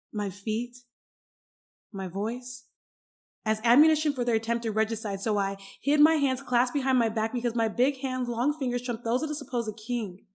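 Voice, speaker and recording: A woman in her twenties performing dramatic spoken word poetry, with theatrical, deliberate phrasing and emphatic stresses. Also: a little high, darkish tone. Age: 20s.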